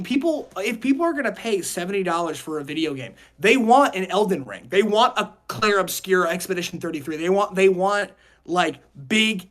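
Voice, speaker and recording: High-voiced young man, emphatic. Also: a bit bright. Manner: emphatic, rambling, slangy. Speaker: podcast host. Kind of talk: casual opinionated podcast discussion.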